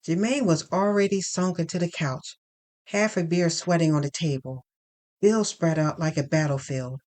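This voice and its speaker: A woman reading first-person dramatic fiction in a deliberate, expressive storytelling manner. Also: deep voice. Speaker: narrator.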